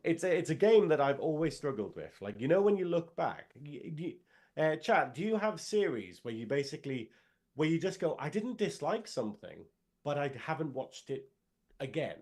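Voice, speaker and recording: A man's voice: fairly high pitch, big pitch swings, normal speed, a little mellow. A man, co-hosting a casual podcast and rambling in a jokey way with lots of fillers. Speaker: podcast co-host. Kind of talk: casual chat.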